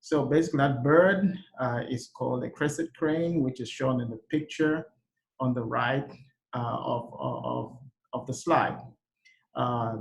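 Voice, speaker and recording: A man from Uganda talks through a slide presentation at an unhurried, informal pace. His voice has a gravelly, fry-edged texture, and he stops often for "uh" and restarts his sentences as he goes. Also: medium pitch, rough recording. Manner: halting, many "uh" fillers and restarts. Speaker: research engineer presenting his country.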